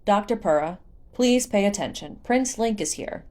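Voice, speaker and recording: A woman's voice: mid-range voice, animated, moderate pace, warm tone, close to an everyday voice. A woman voicing a polite, earnest middle-aged male research assistant in a storybook, formal, courteous and a little flustered.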